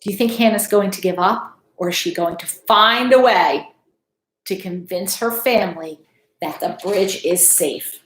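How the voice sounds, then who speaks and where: A woman in her thirties reading a picture book aloud to children in an expressive, teacherly way. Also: medium pitch, a little slow. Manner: expressive, teacherly, checks in with questions. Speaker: school principal reading aloud to pupils. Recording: echoey room.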